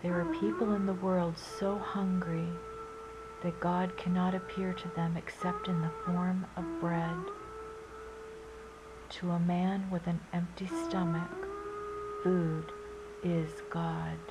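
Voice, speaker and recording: A woman narrator reading inspirational sayings in a measured, deliberate and solemn manner. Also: on the low side, little pitch movement, noisy background. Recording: loud music under the voice. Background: Indian classical flute music.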